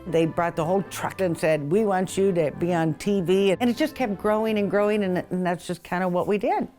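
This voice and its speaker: A woman's voice: fairly low pitch, fairly quick, mellow, faint music behind. A woman who plays polka in a family band, telling stories in an interview.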